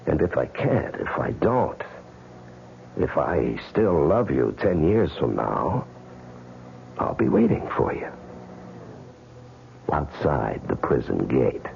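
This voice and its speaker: An actor playing a bitter, betrayed tough husband in an old radio crime drama, sardonic and intense. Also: male voice, very deep voice, sing-song, takes it slowly, gravelly, long pauses, heavily acted. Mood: anguished, resolved. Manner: hard-boiled, sardonic, dramatic. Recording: dull, hissy old radio recording.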